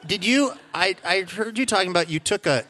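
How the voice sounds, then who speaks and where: A man's voice: high voice, animated, a little fast, sharp tone. A man hosting a casual interview, asking questions with dry jokes. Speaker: podcast host.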